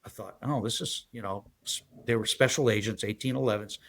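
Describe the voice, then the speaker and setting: A man in his sixties from San Diego, a guest telling his life story in a halting, rambling way full of fillers. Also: on the low side, steady tone, a bit bright. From San Diego, USA. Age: 60s. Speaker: guest, retired federal agent. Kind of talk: casual interview storytelling.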